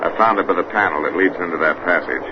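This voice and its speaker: A man's voice: sits low, fast, close to an everyday voice. A man playing a laconic, folksy investigator with a drawl, in 1930s radio horror style. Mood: grave, steady. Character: steady, laconic investigator and champion pistol shot, awkwardly lovestruck. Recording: noisy, muffled old radio recording.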